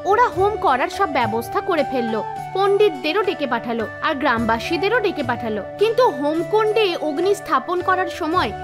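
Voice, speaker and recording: A woman narrating a scripted animated folktale as a storyteller. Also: extremely high pitch, moderate pace. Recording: background music behind voice. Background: soft music.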